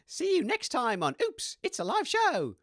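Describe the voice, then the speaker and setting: A woman's voice: high-pitched, huge pitch swings, measured pace, no echo, clean studio sound, full character voice. A woman playing a chirpy, oblivious radio DJ in a comedy audio drama, with a hesitant, theatrical delivery. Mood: jolly presenting. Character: excitable, chirpy, oblivious radio DJ named Dave.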